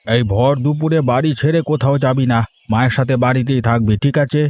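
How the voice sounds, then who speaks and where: Man acting a fast-talking, fretful village father. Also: medium pitch, a little mellow, dry recording, close to an everyday voice. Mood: concerned, instructing. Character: strict, caring village father who sells chickens at market. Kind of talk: animated moral-story voice acting.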